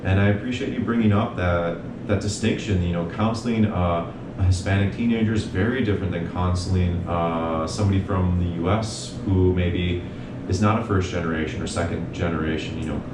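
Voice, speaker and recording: A young man, a mental health provider, telling his story in an earnest, measured way as an interview guest. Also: steady tone. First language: English. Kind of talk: reflective interview talk. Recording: echoey room, distant mic, noisy rumble.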